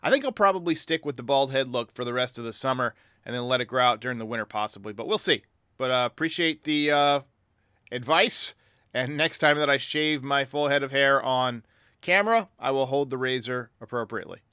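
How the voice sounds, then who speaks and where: A man's voice: medium pitch, a little fast, sharp tone, clear, resonant tone, no echo, clean studio sound. A man hosting a sports podcast, giving commentary in reply to fans. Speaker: podcast host. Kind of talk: sports commentary answering fan voicemails.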